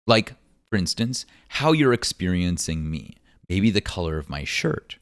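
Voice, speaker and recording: A man with a deep baritone voice, hosting his own podcast and musing earnestly with many ums and likes. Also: big pitch swings, measured pace, dry recording, clean studio sound. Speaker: podcast host. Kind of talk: solo podcast monologue. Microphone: podcast microphone.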